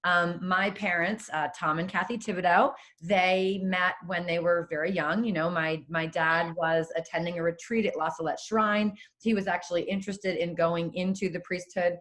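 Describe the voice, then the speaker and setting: Woman, forties, from southeastern New England, webinar presenter, lowish voice, casual fillers. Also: steady tone, moderate pace, hardly any pauses, fairly bright tone. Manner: conversational, with fillers like um and you know. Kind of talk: personal storytelling presentation.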